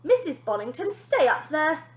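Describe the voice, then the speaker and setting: Snide storybook nanny acted by a woman, high-pitched, sneering, snappy, dramatic, roomy echo. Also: sing-song, normal speed. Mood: commanding.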